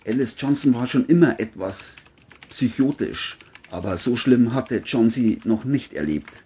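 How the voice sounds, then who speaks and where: Crime audiobook narrator: woman, extremely low and dark-toned, lively intonation. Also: measured pace, husky.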